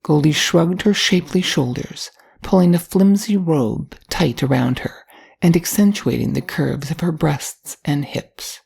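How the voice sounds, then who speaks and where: Older woman, story narrator, deep voice, raspy, reading from a script. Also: unhurried, a little mellow.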